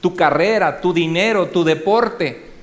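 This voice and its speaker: A man's voice: high-pitched, measured pace. Emphatic preaching pastor, man. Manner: emphatic, rhetorical questions to the congregation; swings between loud exhortation and soft asides. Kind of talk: sermon.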